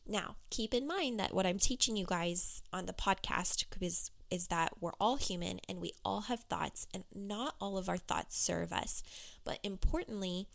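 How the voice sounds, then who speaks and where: A woman business coach talking candidly on her solo podcast, with a hoarse, froggy voice. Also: medium pitch, moderate pace. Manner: candid, conversational, with fillers.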